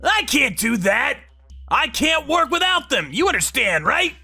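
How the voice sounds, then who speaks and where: A man's voice: very high-pitched, big pitch swings, unhurried, vocal fry, pushed and bright, faint music behind, pitched above the performer's own voice, full character voice. A man voicing an arrogant, whining younger brother, an overexcited salesman, in a dramatized story. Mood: flustered. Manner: sneering, overexcited, pleading.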